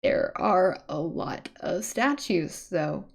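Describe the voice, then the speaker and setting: A woman's voice: lowish voice, lively intonation, slow pace, creaky, breathy edge. A woman streamer reading a webcomic aloud, mixing dramatic narration with casual, chatty asides.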